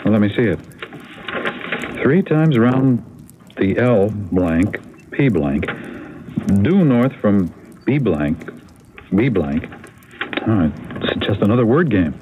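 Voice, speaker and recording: A man's voice: lowish voice, sing-song, slow, pauses often. An actor playing a practical, questioning man puzzling over a mystery in a radio drama. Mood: intrigued. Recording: narrow, very noisy old radio recording.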